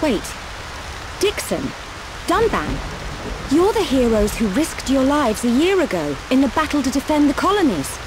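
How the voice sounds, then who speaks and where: A woman's voice: high-pitched, big pitch swings, moderate pace, noisy background. A woman playing an eager young adventurer in a video game cutscene. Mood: awed.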